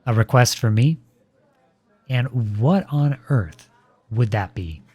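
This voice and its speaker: A man playing a protective boyfriend in a scripted audio roleplay, with measured, formal, pointed phrasing, polite but cutting. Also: medium pitch, warm tone, husky, no room sound. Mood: cool, probing.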